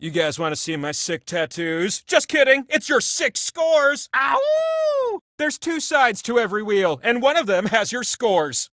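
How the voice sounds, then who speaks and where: A man's voice: extremely high pitch, wildly swooping pitch, measured pace, fairly bright tone, no echo, studio quality, full character voice. A man voicing a sassy, giddy giant talking wheel who hosts a trivia game show with campy, theatrical exuberance and chatty asides. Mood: playful, gushing.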